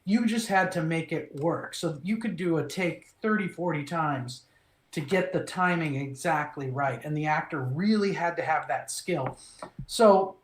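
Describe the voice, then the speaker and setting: A middle-aged male voice actor, originally from Minnesota, telling his career story in an animated, relaxed way, often restarting phrases and acting out quoted lines. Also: a little high, unhurried. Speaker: voice actor, interview guest. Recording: echoey room.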